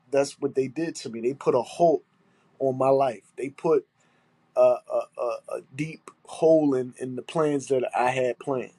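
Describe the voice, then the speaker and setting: A man's voice: medium pitch, warm tone, no echo. A man with a stuffy nose, hosting a solo podcast and talking in an aggrieved, emphatic way, repeating his points and swearing. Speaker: podcast host.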